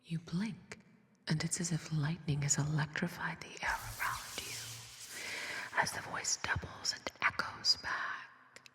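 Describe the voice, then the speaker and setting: Whispering woman storyteller, very slow. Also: sits low, steady tone, big-room sound. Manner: soft, deliberate, dramatic pauses. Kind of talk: whispered ASMR scripted fantasy narration. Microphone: close binaural microphone.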